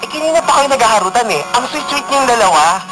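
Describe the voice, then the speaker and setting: An excitable young Filipina gossip voiced by an actress, with pitch swooping all over as she pries and teases. It is radio romance drama, with music underneath. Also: fast talker, lightly acted, rough recording. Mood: nosy, teasing. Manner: animated, prying, teasing. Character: nosy, excitable gossiping female college friend.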